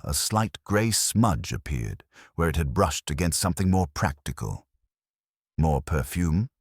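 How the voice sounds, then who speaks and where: Very deep, husky male narrator. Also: no room sound. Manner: dry, measured, literary. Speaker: narrator of a detective story. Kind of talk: scripted audiobook narration.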